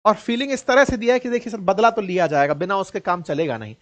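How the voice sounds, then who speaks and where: A man's voice: sits high, quick pace. An Indian man giving informal, colloquial news commentary with a mocking edge, often putting rhetorical questions straight to his listeners.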